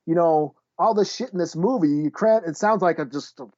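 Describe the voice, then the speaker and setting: A man's voice: on the high side, a little fast, a little mellow, no echo. A man co-hosting a podcast, chatting casually in laid-back, profane slang. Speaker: podcast co-host. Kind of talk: casual chat. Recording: dull, dry lo-fi podcast audio.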